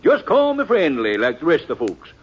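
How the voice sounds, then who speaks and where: An actor playing a jovial, folksy American frontier peddler, hearty and chummy, in a radio western. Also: male voice, fairly high pitch, huge pitch swings, a little fast. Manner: hearty, chummy, proverb-spouting. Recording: muffled, noisy old radio recording.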